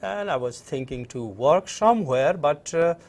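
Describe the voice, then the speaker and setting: A middle-aged man from Nepal with a Nepali accent, answering interview questions conversationally in a halting way and repeating phrases. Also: fairly high pitch, expressive. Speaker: interview guest, university professor. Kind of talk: conversational interview, recounting own background.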